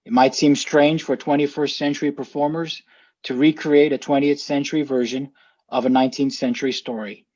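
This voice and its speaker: A man reading a scripted introduction to a program in a measured, articulate presenter's delivery. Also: mid-range voice, flat delivery, darkish tone, highs cut off. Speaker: team representative introducing the show.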